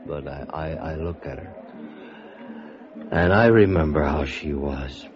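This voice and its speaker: An actor playing a grieving, lovesick man who confides haltingly and mournfully in a 1950s radio drama. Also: extremely low pitch, a crowd in the background. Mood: mournful, tender. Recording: muffled old radio recording, guitar music behind.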